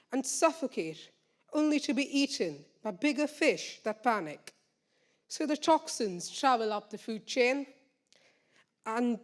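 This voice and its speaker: A woman reciting a rhyming poem on stage with deliberate emphasis. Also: fairly high pitch. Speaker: student poet.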